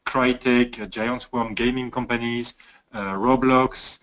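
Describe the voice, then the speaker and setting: A man presenting in a webinar, his voice at a middle pitch. He talks haltingly, breaking his sentences with frequent filler sounds. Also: fairly even intonation, a bit bright. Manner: halting, many hesitations. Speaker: cybersecurity manager presenting.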